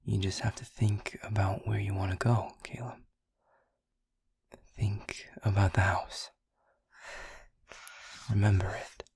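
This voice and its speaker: A man playing a gruff, protective friend in an audio roleplay, speaking in a husky, breathy low voice with slow, deliberate phrasing. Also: little pitch movement, a little mellow, dry recording, full character voice. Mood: gentle, reassuring.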